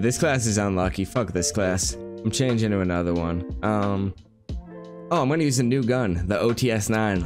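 A young man in his twenties with a stuffed-up, nasal voice from a cold, chatting upbeat over gameplay as a gaming YouTuber. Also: lowish voice. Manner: upbeat, hyped, chatty with friend. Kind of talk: casual gameplay commentary. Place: small room at home.

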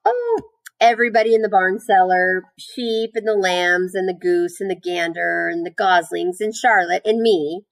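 A woman's voice: fairly low pitch, lively intonation, moderate pace, creaky, poor audio. A woman voicing an earnest, chatty farm girl in a storybook, explaining things eagerly and guilelessly.

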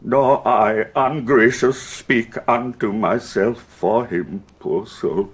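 An actor playing an anguished, wrathful English king in a Shakespearean audio drama, declaiming with heavy pauses and a husky voice. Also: medium pitch. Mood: remorseful, sorrowing. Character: grief-stricken, wrathful, ailing English king.

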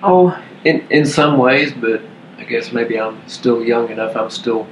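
A man's voice: mid-range voice, a little slow, a little hiss or hum. A man speaking hesitantly and modestly in a casual discussion. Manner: hesitant, modest, soft-spoken. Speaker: podcast guest. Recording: dull, roomy recording.

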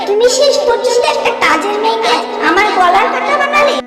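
Woman playing very high-pitched young cartoon wife. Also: lively intonation, brisk, a bit bright, some chatter behind. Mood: betrayed, anguished. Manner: emotional, dramatic. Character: proud, wronged pregnant young landlord's wife. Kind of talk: cartoon melodrama acting. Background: background music.

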